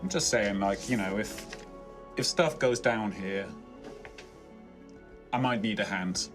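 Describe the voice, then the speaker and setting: A man with a fairly low voice takes part in a tabletop roleplaying game, speaking casually in character. Music is clearly audible behind him. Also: slow.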